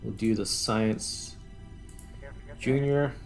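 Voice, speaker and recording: Low, gravelly man talking through gameplay. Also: very slow, soft background music. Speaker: gaming video host. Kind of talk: casual gameplay commentary, thinking aloud.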